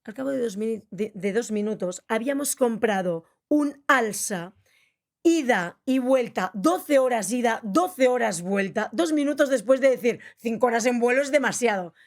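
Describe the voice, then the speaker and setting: A woman from the Costa Brava, hosting a podcast interview in a casual chat, telling lively anecdotes with quick asides. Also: on the high side. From Costa Brava, Spain.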